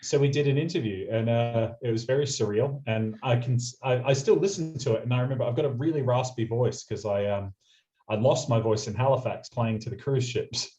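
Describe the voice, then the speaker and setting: A man's voice: medium pitch, warm tone. A young Australian man, a radio presenter, telling travel stories in a chatty, anecdotal way. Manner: quick anecdotal storyteller, chatty. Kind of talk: casual storytelling interview. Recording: muffled lo-fi audio.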